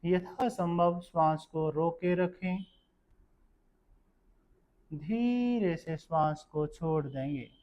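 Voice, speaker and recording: A man with a slightly high voice leads a guided meditation at a very slow pace. His delivery is calm and measured, giving gentle step-by-step instructions. The recording sounds somewhat dull and lo-fi. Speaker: yoga nidra meditation guide.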